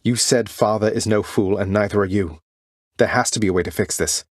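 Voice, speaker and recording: Guy acting as young underworld prince from a video game, lowish voice, super dry studio sound, earnest n defiant, kinda formal old-timey wording. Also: no room sound, close to an everyday voice. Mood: insistent, impassioned. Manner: earnest, formal old-fashioned wording, halting when emotional. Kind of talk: video game character dialogue, dramatic acting.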